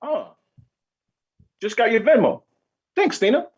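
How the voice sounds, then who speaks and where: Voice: mid-range voice, big pitch swings, measured pace, husky. A performer playing a candid, fussy male co-op worker in a comedy table read, blunt and fussing. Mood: breezy. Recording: dull, lo-fi sound.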